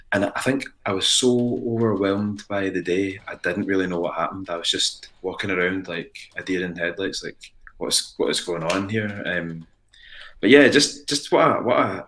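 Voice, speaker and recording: A man's voice: sits low, a bit bright. A Scottish man in his late twenties, a musician guest talking earnestly in a casual interview, rambling with frequent restarts. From Ayrshire, Scotland.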